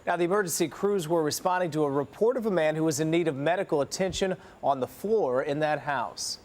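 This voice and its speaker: A man's voice: a little high, fairly quick, a little hiss or hum. An American man anchoring TV morning news in a scripted news-reading style.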